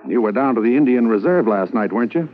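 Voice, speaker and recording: An actor playing a brisk, patient Mountie sergeant who questions in a crisp manner, in a 1950s radio drama. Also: male voice, medium pitch, animated, lightly acted. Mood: businesslike. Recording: tinny lo-fi old radio broadcast with hiss.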